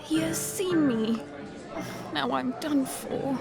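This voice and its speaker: A woman playing a reluctant young lady, deadpan and politely sarcastic, in a farcical audio drama. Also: high voice, slow pace, gravelly, over loud music, over a noisy crowd, heavily acted. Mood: dread. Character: reluctant, drily sardonic young lady avoiding suitor.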